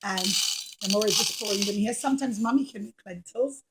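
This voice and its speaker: A woman's voice: mid-range voice, a little fast, heavy background noise. A woman telling a puppet-show story to children in a teacherly way, addressing them directly with dramatic emphasis.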